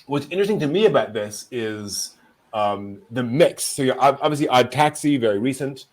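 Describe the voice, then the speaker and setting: Man hosting with animated, swooping pitch. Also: normal speed, rough recording. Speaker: host. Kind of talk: casual anime news discussion.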